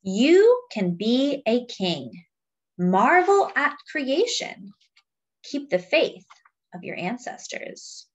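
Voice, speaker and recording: A woman reading a picture book aloud to young children in a deliberate, teacherly way, adding simple explanations as she goes. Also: a little high, sing-song, lo-fi sound. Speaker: children's read-aloud storyteller.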